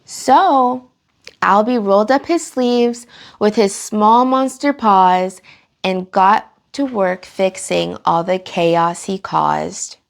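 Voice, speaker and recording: A woman reading a picture book aloud for children, with animated, dramatic delivery and drawn-out emphasis on key words. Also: medium pitch. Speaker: children's storytime reader and host. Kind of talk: expressive picture-book read-aloud for kids.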